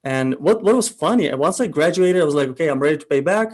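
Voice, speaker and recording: A young man from Ukraine, telling his story as a guest in a casual interview, relaxed and thoughtful with frequent pauses. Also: on the high side. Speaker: guest entrepreneur.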